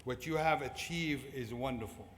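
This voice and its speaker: A middle-aged man from Syria with a Syrian Arabic accent, giving a prepared motivational commencement speech in deliberate short phrases. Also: medium pitch. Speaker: commencement speaker. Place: large indoor fieldhouse at a ceremony. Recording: echoey fieldhouse PA with clear background noise.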